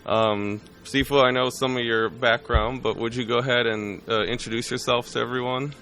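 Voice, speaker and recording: A man's voice: mid-range voice, fairly even intonation, normal speed, pushed and bright, faint music behind, rough recording. A man hosting a podcast who introduces his guest and asks questions in a friendly, encouraging way. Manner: friendly, encouraging, many short backchannels. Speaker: podcast host. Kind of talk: interviewing, casual chat.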